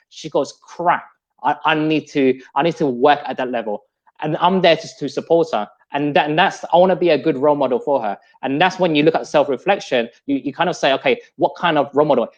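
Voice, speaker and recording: A man in his thirties hosting a live podcast chat, talking in an earnest, reflective, motivational way. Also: on the high side. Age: 30s. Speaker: podcast host. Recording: dull lo-fi sound.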